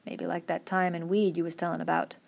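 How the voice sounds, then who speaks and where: A woman voicing a calm, steady ranch leader who speaks quietly in a rural drawl. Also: fairly low pitch, brisk, gravelly. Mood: somber.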